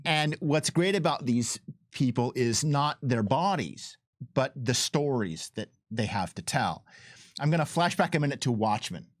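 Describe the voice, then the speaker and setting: Man, mid-pitched, expressive delivery, game developer, storytelling, casual livestream talk, dry recording. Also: unhurried, no room sound. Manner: animated, emphatic storyteller. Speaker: game developer giving commentary. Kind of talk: casual unscripted livestream chat.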